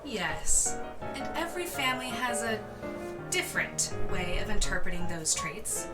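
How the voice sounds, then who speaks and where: A woman's voice: medium pitch, very animated, a little slow, recorded from a distance, music in the background. A woman playing a cold, persuasive, haughty evil princess in an audio drama. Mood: scheming, cool.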